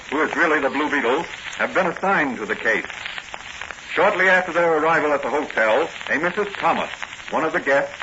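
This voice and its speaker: A man's voice: fairly high pitch, background noise. A man announcing and narrating in the dramatic style of a 1940s radio serial. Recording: dull old radio transcription with music.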